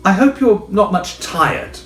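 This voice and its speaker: A man's voice: sits high, lively intonation, slow pace, fairly bright tone, reverberant, distant mic, faint music behind, pitched above the performer's own voice, exaggerated voice. A man voicing a polite, hesitant, curious young girl in a storybook. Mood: polite, doubtful. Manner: polite, doubtful.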